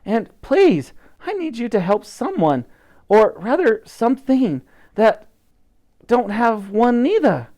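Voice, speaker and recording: A storyteller voicing a brave young orphan girl who pleads and calls out in a high voice. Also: big pitch swings, slow, dark tone. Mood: pleading.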